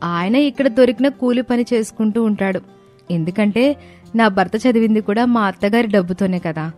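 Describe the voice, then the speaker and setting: A woman's voice: mid-range voice, darkish tone, close to an everyday voice. Woman acting a proud, poor young wife. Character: poor, proud, contented young daughter-in-law.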